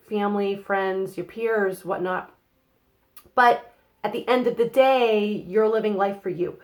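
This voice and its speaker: A young woman with a cold and a slightly nasal voice, giving a casual card reading to her viewers with lots of fillers and quick "okay?" checks. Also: medium pitch. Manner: chatty, many fillers and tag questions. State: has a cold. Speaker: psychic card reader. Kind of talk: casual tarot-style reading to camera.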